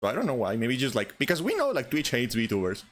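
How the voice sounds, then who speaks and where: A man's voice: mid-range voice, wildly swooping pitch, fast talker, fairly bright tone. A man in his late twenties chatting casually and comically as a streamer, complaining in an exasperated way and swearing freely. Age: late 20s. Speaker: online streamer, podcast host. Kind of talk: casual comedic chat.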